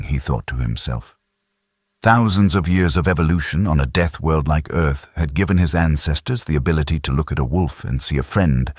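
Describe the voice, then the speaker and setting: Very deep, husky male story narrator. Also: no echo, clean studio sound. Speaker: audiobook narrator. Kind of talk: dramatic scripted sci-fi narration.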